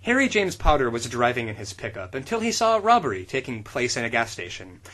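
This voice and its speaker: Lively-voiced man reading fan fiction. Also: medium pitch, quick pace. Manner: deadpan reading that breaks into laughter. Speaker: podcast co-host.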